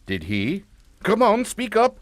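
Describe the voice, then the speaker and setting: A cold, commanding English father in a radio thriller. He speaks slowly and deliberately in clipped phrases, with pitch that swings widely. The sound is dry and close. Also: wildly swooping pitch, no echo, full character voice. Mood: stern, calculating. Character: stern, controlling English father, cold and calculating. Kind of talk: radio suspense drama acting.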